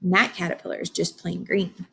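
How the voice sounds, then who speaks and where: A woman reading a children's book aloud like a teacher, expressive and instructive. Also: lowish voice, gravelly, slight background noise. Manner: expressive, instructive, with dramatic pauses and hushed asides. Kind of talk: children's read-aloud with explanations and questions to listeners.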